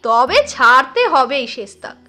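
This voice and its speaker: A woman's voice: high voice, animated, measured pace, sharp tone, soft background music, full character voice. A woman voicing a taunting, boastful male village exorcist in a storybook reading, menacing and jeering.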